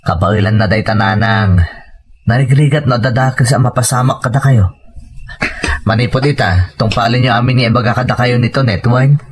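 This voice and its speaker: An actor with an Ilocano accent playing an earnest, worried husband and devoted son in an audio drama. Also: male voice, mid-range voice, normal speed, lightly acted. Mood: concerned.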